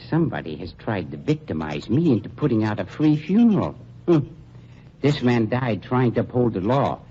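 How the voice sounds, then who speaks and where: Man acting as an unctuous scheming undertaker, mellow voice, swooping pitch, 1940s radio drama, dull lo-fi sound. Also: big pitch swings, husky. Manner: unctuous, falsely polite, with drawn-out pauses. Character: oily, smooth-talking male undertaker and blackmailer. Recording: dull lo-fi 1940s radio recording, faint hiss.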